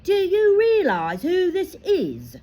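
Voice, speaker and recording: A woman's voice: extremely high pitch, huge pitch swings, takes it slowly, mellow, voice pushed up, heavily acted. A woman reading aloud voices a noble male centaur who speaks solemnly and earnestly. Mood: urgent.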